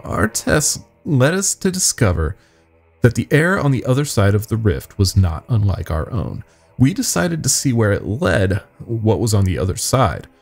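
A man voicing a nervous young company worker confessing secrets in a halting, grave horror-story telling. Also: fairly low pitch, very animated, creaky, darkish tone, faint music behind, exaggerated voice. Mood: uneasy.

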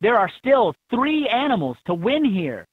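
A woman with a husky voice reading scripted prompts as an encouraging guide in a children's game, on dull toy audio. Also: on the high side, sing-song, measured pace, no room sound, exaggerated voice.

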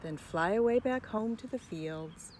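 A woman's voice: medium pitch, unhurried. A woman reading a children's picture book aloud outdoors, expressively, as a teacher would to young children. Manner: expressive, addressing young children, with whispered asides. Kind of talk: children's story read-aloud. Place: outdoors in a front yard under a tree. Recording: outdoor recording with birds and ambient noise.

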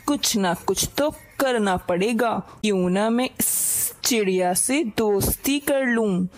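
A woman voicing a big, sly, hungry male snake in a children's cartoon, scheming and falsely friendly with drawn-out endings. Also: mid-range voice, natural voice, poor audio. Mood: greedy, scheming.

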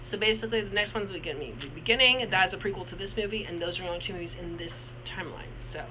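A man's voice: sits high, very fast, noisy background. A man in his twenties co-hosting a casual movie review, talking in a rambling way with many restarts and fillers. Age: 20s.

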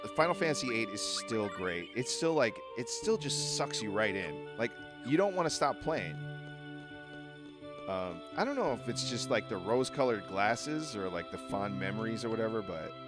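A man's voice: fairly low pitch, sing-song, normal speed, over music. A man giving casual gameplay commentary in a chatty, rambling way, thinking aloud as he plays. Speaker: video game player commentating.

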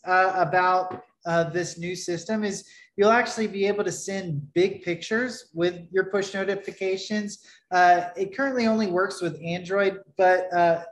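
A man in his thirties giving a relaxed screen-share tutorial on his product, talking loosely with many fillers and restarts from a webcam at his desk. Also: sits high, roomy sound. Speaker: developer presenting his product. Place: small home room, indoors.

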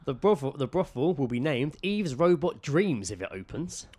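A man's voice: a little high, very animated, moderate pace, darkish tone. A British man co-hosting a podcast, casually reading out odd news with jokey, sweary asides and ready laughter. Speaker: podcast co-host.

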